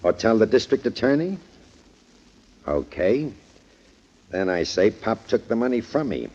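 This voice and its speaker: An actor playing a menacing, smug racketeer who sneers and taunts in a 1940s radio crime drama. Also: male voice, on the low side, very animated, measured pace, warm tone. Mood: smug, defiant. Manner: sneering, taunting, tough-guy talk. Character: menacing, smug male racketeer muscling into boxing. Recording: muffled, noisy 1940s radio recording.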